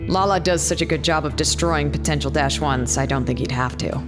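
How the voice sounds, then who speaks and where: A woman's voice: low-pitched, brisk, music in the background, natural voice. A woman acting as a blunt, sardonic makeshift bartender in a casual, naturalistic audio drama. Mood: matter-of-fact. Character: blunt, sardonic female colleague tending a makeshift bar.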